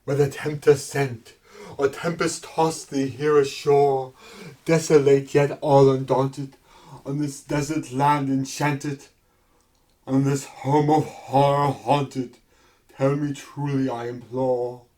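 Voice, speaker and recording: A man's voice: mid-range voice, flat delivery, slow. A man in his thirties giving a theatrical, intense recitation of gothic horror verse in a living room. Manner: melodramatic, intense, swinging from hushed to shouted. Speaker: performer reciting a poem. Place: carpeted basement living room with low tiled ceiling.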